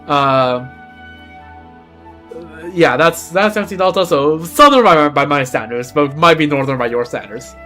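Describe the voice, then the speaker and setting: Rambling young man, slightly high voice. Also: unhurried, pushed and bright, faint music behind. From China (Beijing). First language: Chinese. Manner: rambling, self-correcting, chatty. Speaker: game streamer. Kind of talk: casual stream chat.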